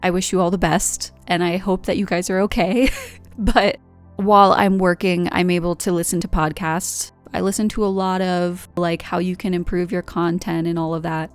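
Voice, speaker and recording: A woman's voice: fairly low pitch, steady tone, normal speed, clear, resonant tone, faint music behind. A woman in her twenties from the United States, talking candidly and rambling through a reflective vlog monologue with lots of fillers. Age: 20s. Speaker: art vlogger.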